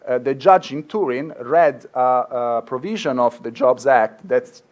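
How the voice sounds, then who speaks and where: A man's voice: mid-range voice, a little slow, darkish tone, muffled recording. Italian guy, law prof at a conference, middle-aged, lots of uhs and restarts, earnest. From Italy. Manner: earnest, with frequent fillers and restarts. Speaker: law professor. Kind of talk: conference talk.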